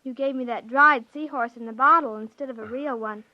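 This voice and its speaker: A woman's voice: high voice, brisk, no room sound, natural voice. A woman playing an earnest, sweet but stubborn young daughter in 1950s radio drama, with an innocent, plain-spoken childlike manner. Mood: earnest, reproachful. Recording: dull vintage 1950s radio recording.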